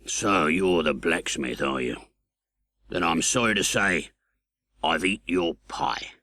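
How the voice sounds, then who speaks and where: Audiobook role: hardened English convict acted by a male reader, scornful, uneducated, low-pitched, brooding. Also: expressive, bright, forceful tone, heavily acted. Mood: sullen, grudging. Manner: slow, grudging, uneducated speech.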